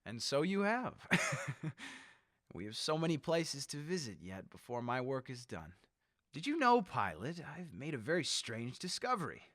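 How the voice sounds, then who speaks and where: A man playing a megalomaniac leader bent on mass death in a radio horror drama, theatrical and gloating, moving between hushed menace and shrieks. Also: medium pitch, wildly swooping pitch, unhurried, no echo, clean studio sound, exaggerated voice. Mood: smug, taunting. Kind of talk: old-time radio horror drama acting.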